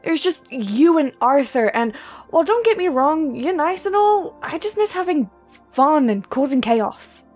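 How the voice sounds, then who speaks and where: A woman's voice: high voice, big pitch swings, measured pace, darkish tone, faint music behind. A woman voicing a bored young muse who loves chaos, whiny and sarcastic, in an audio drama. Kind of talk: ASMR audio drama roleplay.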